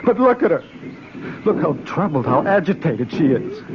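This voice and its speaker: A man's voice: fairly high pitch, big pitch swings, moderate pace, a crowd in the background. An actor in a radio drama playing an anxious young scientist, urgent and jumpy as he presses his worries. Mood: uneasy, worried. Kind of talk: old-time radio drama acting. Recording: dull, noisy old radio recording.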